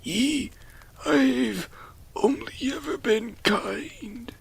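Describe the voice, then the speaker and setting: A man playing a rambling, senile old dwarf with grandiose delusions in a fantasy audio drama, his voice cracked and breathy. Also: very high-pitched, extremely slow, exaggerated voice. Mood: faltering, frail. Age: elderly.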